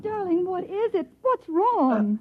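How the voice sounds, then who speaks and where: An actress playing a solicitous, soothing American housewife in a 1950s radio drama. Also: female voice, very high-pitched, very animated, slow, dry recording, full character voice. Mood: concerned. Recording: muffled, dry vintage radio recording.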